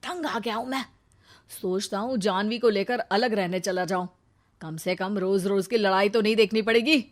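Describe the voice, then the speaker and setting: A woman's voice: mid-range voice, normal speed, natural voice. A woman voicing an exasperated young married man who complains wearily about the fighting at home. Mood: fed up. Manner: weary, complaining inner monologue. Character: exasperated young married man caught between mother and wife. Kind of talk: cartoon story voice acting.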